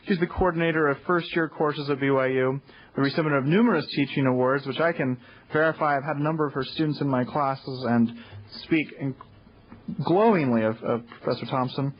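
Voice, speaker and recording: A man's voice: medium pitch, a little fast. Man stumbling through an introduction. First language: Portuguese. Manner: stumbling over words, self-correcting. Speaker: event host introducing a speaker. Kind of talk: formal introduction. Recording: dull, lo-fi lecture recording.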